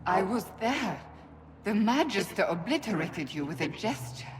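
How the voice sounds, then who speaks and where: A woman's voice: medium pitch, sing-song, moderate pace, in a large hall, slight background noise, natural voice. A woman playing a devout, grief-stricken captive warrior in a fantasy game, solemn and intense. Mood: anguished, awed. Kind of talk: dramatic fantasy game dialogue.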